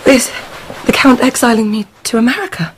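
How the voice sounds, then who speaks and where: An actress playing a proud, wounded baroness in a radio drama, haughty, clipped and formal. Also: female voice, fairly high pitch, expressive, a little fast, a little hiss or hum, natural voice. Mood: incredulous.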